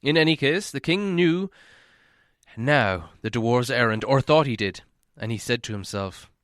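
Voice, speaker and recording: A man's voice: mid-range voice, lively intonation, a little slow, fairly bright tone, dry recording. Man reading a storybook, dry close sound. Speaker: audiobook reader. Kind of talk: expressive scripted storybook narration.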